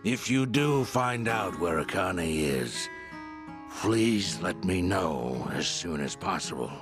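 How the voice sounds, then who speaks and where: A serene, fatherly leader of a faith in a game cutscene. He speaks slowly and low, with gentle, measured formality, while music plays clearly behind him. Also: expressive. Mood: calm, kindly. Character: serene, fatherly male leader of a religious group. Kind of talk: dramatic game cutscene acting.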